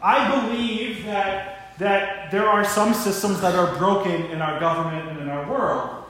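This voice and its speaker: A man's voice: high voice, fairly even intonation, measured pace, fairly bright tone. A man in his thirties preaching a sermon as a pastor. He speaks earnestly and often repeats words as he works through his thoughts. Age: 30s. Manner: earnest, repeats words while thinking aloud. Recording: very echoey room, faint noise.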